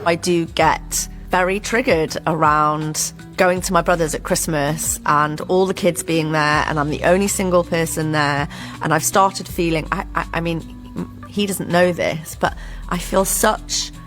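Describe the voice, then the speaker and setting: Woman, hesitant, emotional confession, lowish voice, faint music. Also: unhurried, fairly bright tone.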